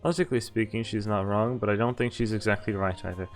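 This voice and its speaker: A man's voice: on the low side, steady tone, fast. A man streaming a visual novel, reading its text aloud with casual commentary over game music. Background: game background music.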